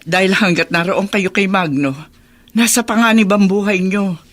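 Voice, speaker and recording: A woman's voice: mid-range voice, steady tone, moderate pace, close to an everyday voice. An actress playing a protective, resolute grandmother sheltering her family in a radio drama.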